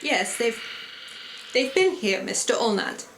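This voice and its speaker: High-voiced, prim missionary woman played by an actress. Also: big pitch swings, heavily acted. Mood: shaken. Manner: proper, formal, faltering and halting. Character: prim, devout English missionary's sister, a woman. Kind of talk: live radio play acting. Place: live stage performance in a room. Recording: roomy live stage recording, faint noise.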